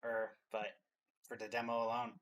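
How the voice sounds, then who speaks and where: A man's voice: mid-range voice, completely flat delivery, normal speed, fairly bright tone, a little hiss or hum. A man hosting a reaction video in a casual, chatty style.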